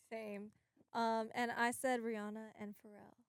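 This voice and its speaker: A woman's voice: a little high, slow pace, dry recording. A young woman from the United States in a pop group, playful and laughing often in a radio studio interview. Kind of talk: casual interview chat.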